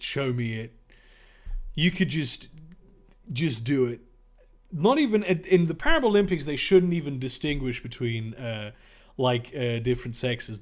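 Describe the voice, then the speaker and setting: A young German man reading viewer questions aloud on a livestream and answering them in casual, rambling, profane chat. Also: mid-range voice.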